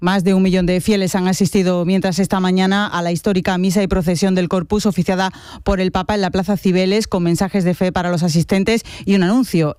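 Male newsreader, formal bulletin style, high crisp voice. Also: dry recording, clean studio sound. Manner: steady formal delivery. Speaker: radio newsreader. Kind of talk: hourly news bulletin.

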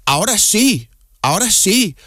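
A man from the United States, a native English speaker, chatting in Spanish with an American accent as an interview guest, halting and restarting words. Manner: halting, restarts words, animated pitch swings. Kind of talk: casual interview chat.

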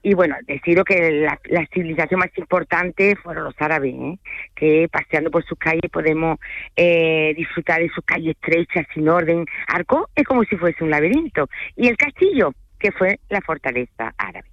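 A woman's voice: deep voice, lively intonation, normal speed, no room sound. A woman from France who speaks French natively, a tour guide and agency manager, talking hesitantly with many fillers and restarts in a radio interview. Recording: dull lo-fi radio sound.

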